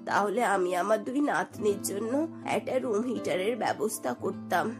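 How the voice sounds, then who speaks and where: A woman's voice: medium pitch, normal speed, music in the background, pitched below the performer's own voice, lightly acted. A woman voicing a poor, loving old grandmother in a cartoon, sorrowful and subdued. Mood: sad resigned. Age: older.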